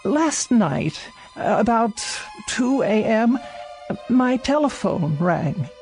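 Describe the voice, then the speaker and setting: Slow, fretful elderly woman. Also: a little high, big pitch swings, a little mellow, exaggerated voice. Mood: hesitant. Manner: hesitant, fussy, proper. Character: frail, lonely, fretful elderly woman living alone. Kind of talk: radio drama acting. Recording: radio play with music underneath.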